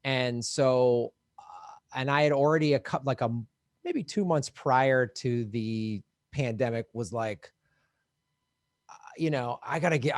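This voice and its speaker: A man's voice: mid-range voice, no room sound, clean studio sound. A middle-aged man from the American Midwest, a comedian telling stories in a casual chat and laughing often. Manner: animated storyteller, laughs often, acts out voices. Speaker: podcast co-host, touring comedian.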